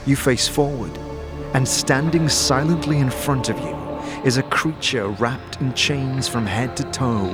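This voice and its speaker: Dramatic male fantasy narrator over music. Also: medium pitch. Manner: vivid, suspenseful storytelling. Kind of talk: dramatic scripted second-person fantasy narration.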